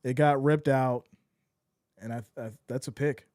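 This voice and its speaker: A man doing live fan play-by-play of a football game, excitable and losing his voice, swinging from shouts to deflated mutters. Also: medium pitch, measured pace, no echo, studio quality.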